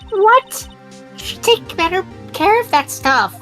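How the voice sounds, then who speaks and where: Lady doing a perky young assistant girl from a video game, worried, really high n bouncy. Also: very animated, unhurried, a bit bright, faint music behind, exaggerated voice. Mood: alarmed. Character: anxious, perky young female legal assistant. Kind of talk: amateur video game voice-over read.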